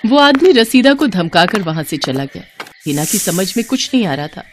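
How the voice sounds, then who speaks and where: A woman's voice: medium pitch, very animated, moderate pace, heavy background noise. A woman narrating a scripted moral story as a dramatic storyteller.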